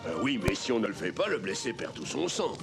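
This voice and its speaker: An actor playing a pompous, skeptical male physician in a cartoon, easily scandalized, with a creaky voice. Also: lowish voice, big pitch swings, a little fast. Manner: pompous, indignant. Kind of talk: animated cartoon dialogue, French dub. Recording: music behind the voice.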